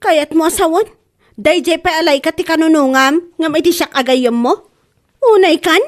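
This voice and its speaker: A woman's voice: very high-pitched, normal speed. An actress playing a touchy, scolding young Filipino woman who takes offence easily, in an Ilocano comedy radio drama. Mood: offended. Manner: confrontational, scolding.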